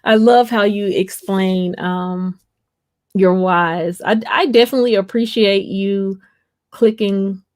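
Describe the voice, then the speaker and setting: A woman's voice: mid-range voice, steady tone, slow pace, no echo, clean studio sound. A woman hosting a live stream, chatting casually with her guest, thanking him and reading out viewer comments. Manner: warmly thankful, reads viewer comments aloud.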